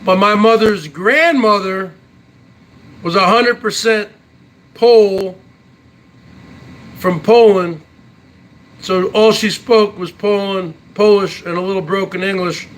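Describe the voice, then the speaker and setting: A man's voice: high-pitched, slow, pauses often, poor audio. A man in his forties hosting his own online show, chatting casually to viewers in a brash, boastful way and tagging his lines with "huh?". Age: 40s. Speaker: YouTube show host. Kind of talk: casual solo chat to viewers.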